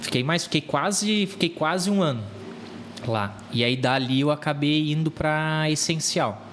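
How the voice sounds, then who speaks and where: A man's voice: fairly high pitch, unhurried, a bit bright, slight background noise. A man in his thirties with a Rio Grande do Sul accent, a real estate agency owner telling his story as a podcast guest, often repeating words as he searches for them. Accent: Rio Grande do Sul Brazilian Portuguese. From southern Brazil. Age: 30s. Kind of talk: casual interview talk.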